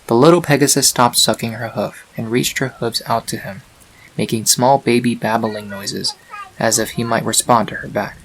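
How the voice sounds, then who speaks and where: A man narrating a scripted fan-fiction story in a measured, dramatic storytelling manner. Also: mid-range voice, a bit bright, low-quality recording. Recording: faint hiss on home recording.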